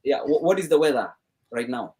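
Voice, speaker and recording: A man's voice: a little high, expressive, brisk, crowd noise. Upbeat man hosting a live stream. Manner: upbeat, repeats words often, laughs. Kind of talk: casual chat.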